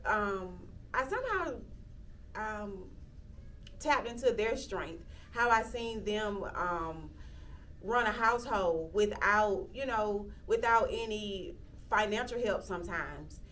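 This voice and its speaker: A woman, speaking reflectively in an interview, often pausing with "um" and restarting sentences. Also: mid-range voice, fairly bright tone, far from the mic, a little hiss or hum. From Athens, Georgia, US. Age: 30s. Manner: reflective, with frequent "um" fillers and restarts. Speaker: interviewee, local county commissioner. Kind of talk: casual interview talk.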